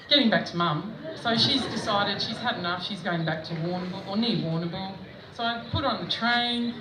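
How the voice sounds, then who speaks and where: A middle-aged Australian woman doing stand-up, telling self-mocking stories in a chatty way with frequent laughter, recorded at an outdoor festival stage. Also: mid-range voice. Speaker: stand-up comedian. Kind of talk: stand-up comedy set. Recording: echoey, distant mic with background noise.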